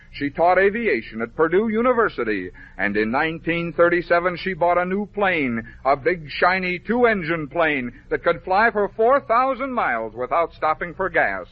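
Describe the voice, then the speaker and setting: An American man narrating a scripted story for children as a 1950s radio host, phrasing it clearly and dramatically. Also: a little high. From American Midwest. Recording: dull, noisy vintage 1950s radio recording.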